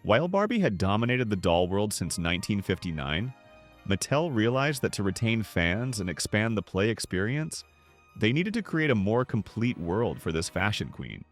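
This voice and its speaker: A man's voice: lowish voice, very animated, normal speed, faint music behind. A man reading scripted nostalgic documentary narration.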